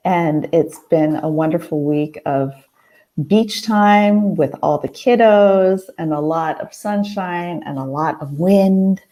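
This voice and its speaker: A woman hosting a casual heart-to-heart monologue, a little hoarse, talking thoughtfully with many pauses and restarts. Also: on the low side, mellow. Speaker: host. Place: family house, at night.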